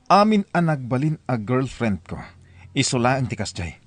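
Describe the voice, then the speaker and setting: A man's voice: mid-range voice, big pitch swings, moderate pace, no echo. A man playing a proud, stubborn young husband with an Ilocano accent in a family radio drama, talking defiantly. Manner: defiant, scoffing.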